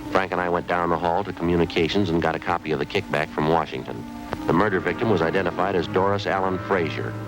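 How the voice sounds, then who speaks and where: A man as a deep, clipped police detective. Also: lightly acted, poor audio. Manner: clipped, matter-of-fact. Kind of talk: 1950s radio drama narration. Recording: old radio broadcast with music bed.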